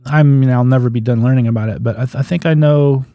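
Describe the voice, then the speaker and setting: Male, 50s, interview guest. Mid-pitched, mellow delivery, anecdotal and plain-spoken, casual storyteller. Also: fast talker, dry recording, studio quality. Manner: anecdotal, acts out remembered dialogue, folksy plain-spoken phrasing. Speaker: guest, physical therapist and clinic owner.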